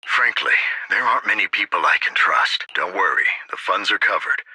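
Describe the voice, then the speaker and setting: Deep, forceful mayor. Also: male voice, expressive, breathy edge, no echo, exaggerated voice. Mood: earnest, grateful. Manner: formal, deliberate. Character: authoritative, earnest male city mayor, measured and diplomatic. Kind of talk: video game voice acting.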